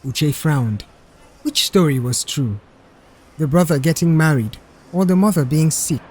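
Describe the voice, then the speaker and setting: A man's voice: on the high side, a little slow, a little mellow, husky. A man reading a scripted story aloud as an audiobook narrator.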